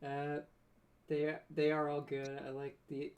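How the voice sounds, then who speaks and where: A man chats casually as a livestream co-host. His pitch stays fairly flat and narrow, and he speaks haltingly, stammering and searching for his words. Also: clean tone. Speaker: co-host of a panel discussion.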